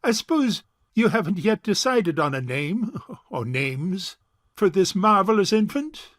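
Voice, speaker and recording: A man's voice: high-pitched, sing-song, a little slow, fairly bright tone, dry recording, clean studio sound, exaggerated voice. An actor playing a suave, sarcastic bachelor uncle in his forties in an audio farce, speaking in a pompous, formal way. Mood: courtly. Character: suave, sarcastic forty-two-year-old rich bachelor uncle. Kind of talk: stage farce audio drama acting.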